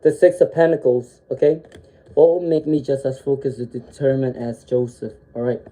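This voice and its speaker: Very dark-toned tarot reader with a cold. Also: medium pitch, fairly even intonation, normal speed, poor audio. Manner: ends sentences with a checking "okay?"; frequent "um" fillers, gentle reassuring delivery. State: has a cold, sniffling. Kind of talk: live-stream tarot reading.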